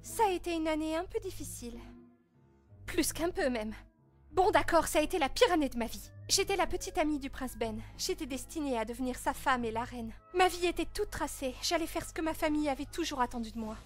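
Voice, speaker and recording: A woman's voice: high-pitched, animated, fairly quick, soft background music. A woman voicing a spoiled, jealous teenage princess with a snooty, dramatic manner in a fan-dub narration. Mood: bitter, dramatic.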